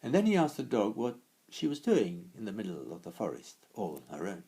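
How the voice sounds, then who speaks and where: A man narrating a story expressively and deliberately, with dramatic pauses, as an author reading his own work. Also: fairly low pitch, wildly swooping pitch, warm tone, husky.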